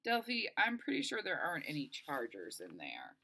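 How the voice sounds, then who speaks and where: A woman's voice: deep voice, huge pitch swings, normal speed, gravelly, pushed and bright, a little hiss or hum, exaggerated voice. A woman reading a storybook as a cautious, practical teenage boy, earnest and reassuring.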